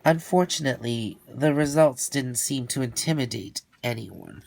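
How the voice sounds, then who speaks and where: A man reading a children's storybook aloud in an expressive style, with theatrical, drawn-out emphasis. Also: medium pitch, creaky, poor audio.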